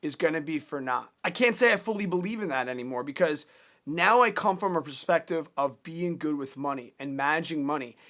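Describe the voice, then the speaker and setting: A man's voice: fairly high pitch, expressive, fairly quick, sharp tone. A man in his thirties giving casual, earnest personal finance advice straight to the camera, plainspoken and given to repeating words as he restarts. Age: 30s.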